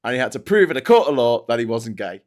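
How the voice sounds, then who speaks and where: A man's voice: mid-range voice, big pitch swings, fast talker, darkish tone. A man from Birkenhead with a Merseyside accent, hosting a casual news chat podcast, chatty with frequent hesitant fillers. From Birkenhead, England. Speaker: podcast host.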